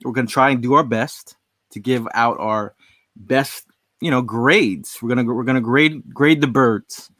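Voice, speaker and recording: A man's voice: medium pitch, a little slow, fairly bright tone, dry recording. A man co-hosting a sports podcast, talking in a laid-back, rambling way. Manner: laid-back, rambling, says "you know" often. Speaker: podcast co-host. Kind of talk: casual sports chat.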